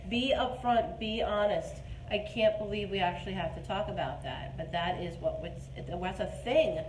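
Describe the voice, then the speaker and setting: A woman in her forties hosting a dating advice show, chatting casually and emphatically in an echoey room. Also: mid-range voice. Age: 40s. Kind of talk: casual live chat. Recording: echoey room with clear background noise.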